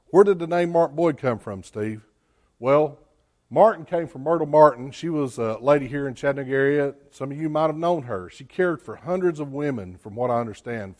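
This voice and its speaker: Plainspoken man giving a church talk. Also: medium pitch. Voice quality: loud voice. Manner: plainspoken, many "uh" fillers. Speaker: executive director of a care home, guest speaker. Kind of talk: church presentation.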